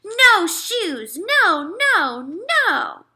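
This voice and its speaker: A woman's voice: very high-pitched, big pitch swings, extremely slow, pushed and bright, voice pushed up, exaggerated voice. A woman voicing a stubborn little toddler boy in a storybook, hollering shrilly in short repeated demands. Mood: furious.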